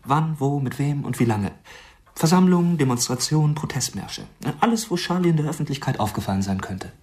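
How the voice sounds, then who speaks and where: An actor playing a brisk younger American manager in a German radio play, pointed, articulate and sardonic. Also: male voice, on the high side, animated, darkish tone, lightly acted. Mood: probing, businesslike. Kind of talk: German radio drama acting.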